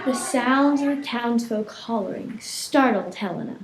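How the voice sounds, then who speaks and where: A woman's voice: a little high, a little slow. A woman narrating a children's radio play in scripted storytelling style. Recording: echoey room, faint noise.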